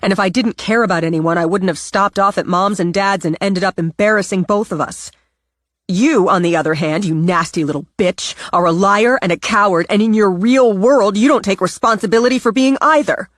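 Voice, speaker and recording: A woman voicing a defiant young woman with a sharp, sarcastic delivery, a bit higher than her own voice. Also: steady tone, brisk, few pauses, no room sound, clean studio sound, close to an everyday voice. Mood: cutting. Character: young woman, cutting, defiant, proud sister.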